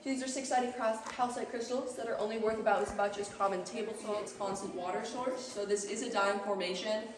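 A young woman guiding a cave tour, explaining the formations to a group in a measured, informative way. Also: mid-range voice, fairly even intonation. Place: large cave, indoors. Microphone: far camera held by a visitor. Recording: echoey cave recorded from far off.

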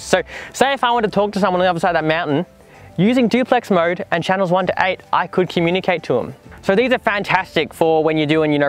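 A man in his twenties with an Australian accent, casually explaining to camera as a vlog host outdoors. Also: high-pitched. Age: 20s. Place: outdoors in dry bush clearing beside a 4WD.

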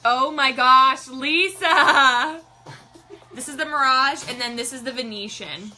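Bubbly bright young woman live-selling. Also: high-pitched, slow pace, faint music behind. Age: young adult. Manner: bubbly, greets viewers by name, reads comments aloud. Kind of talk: chatty live-stream selling. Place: small indoor room with a colorful backdrop.

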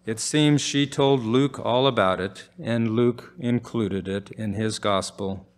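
An older man, around his seventies, preaching in a measured, formal way as he reads from a script at a church pulpit microphone. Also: fairly low pitch. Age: older, about 70s. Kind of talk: sermon read from a script, with scripture readings. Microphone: pulpit microphone on a gooseneck stand.

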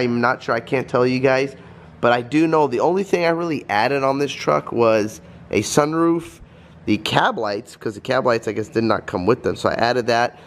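A man's voice: medium pitch, a little hiss or hum. Stuffed-up man vlogging in his garage. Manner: rambling, chatty, addresses viewers as guys. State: stuffed up from a cold. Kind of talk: casual vlog talk. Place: home garage. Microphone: handheld vlog camera.

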